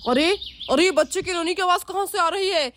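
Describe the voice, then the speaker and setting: एक महिला एक बंदूकधारी पुरुष डाकू की आवाज़ निकालती है, भारी और धमकी भरे, चिढ़ाने वाले अंदाज़ में।. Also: female voice, very high-pitched, fairly quick, fairly bright tone, slight background noise. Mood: menacing. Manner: gruff, threatening, mocking. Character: menacing male dacoit kidnapper with a gun.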